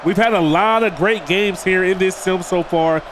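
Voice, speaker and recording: A man with a high voice, nasal and congested from a cold, calling the action of a football video game for his viewers. He talks to them like buddies and pushes up on the big plays. A steady hiss of noise sits under the recording. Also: normal speed. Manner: addresses viewers as "boys", hypes big plays. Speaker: gaming video creator. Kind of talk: play-by-play commentary over a football video game.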